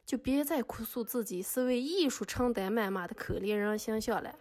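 A woman acting as an indignant female accuser in a podcast courtroom drama. She speaks in a fairly high voice with a sarcastic, scolding manner, reading out her case as a monologue. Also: moderate pace, darkish tone, lightly acted.

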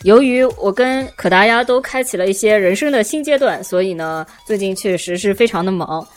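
A woman with a medium-pitched voice speaks as a podcast co-host. Her style is casual and conversational, the talk of an informal chat show. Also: expressive, brisk, soft background music.

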